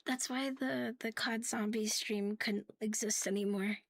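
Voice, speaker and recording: Girl doing live asmr chat, kinda high soft breathy voice, half whispering, teasing, takes her time. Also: steady tone, a little slow. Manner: playful, giggly, teasing. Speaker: ASMR livestream host.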